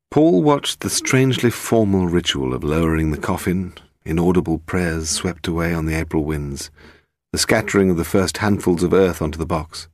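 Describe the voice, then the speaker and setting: A British man reads a detective story with measured, scripted delivery. His voice is very low yet moves expressively, and the sound is dry. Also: dry recording. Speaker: audiobook narrator. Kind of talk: scripted narration of a detective novel.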